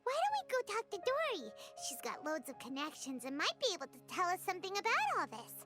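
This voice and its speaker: A woman voicing a tiny childlike fairy companion in a video game, squeaky, excitable and naive. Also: fast, exaggerated voice. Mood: chirpy. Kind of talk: video game character dialogue. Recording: music clearly behind the voice.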